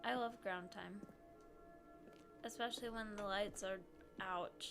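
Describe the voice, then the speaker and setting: A woman's voice: medium pitch, fairly even intonation, a little slow, over music. A young woman with a stuffy nose, playfully teasing a game as she streams. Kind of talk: casual gameplay commentary.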